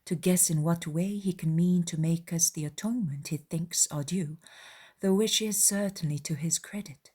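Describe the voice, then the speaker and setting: Woman acting a thoughtful young gentlewoman. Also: lowish voice, moderate pace, slightly rough. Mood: puzzled.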